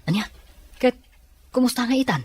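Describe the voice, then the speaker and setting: A man's voice: very high voice, animated, normal speed, a little mellow. A man playing a shallow, callous young buddy in melodrama dialogue. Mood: curious.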